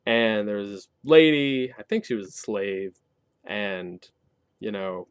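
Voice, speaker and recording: A man's voice: on the low side, takes it slowly, clean tone, dry recording. A man giving a casual spoken review and explaining a game setting, colloquial and wry with sarcastic asides. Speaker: tabletop game reviewer and host.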